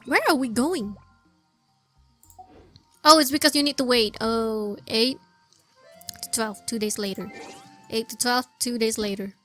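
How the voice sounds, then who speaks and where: A woman's voice: on the high side, a little slow, pauses often, sharp tone. A woman giving casual gameplay commentary, muttering to herself and repeating phrases as she plays. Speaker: gaming streamer. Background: game music.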